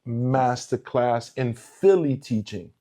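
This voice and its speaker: Middle-aged ex-teacher guy, born in portugal, mellow low-key tone, repeats himself for emphasis. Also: animated, takes it slowly. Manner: emphatic, repeats words when building a point. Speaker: former teacher. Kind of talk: casual conversation.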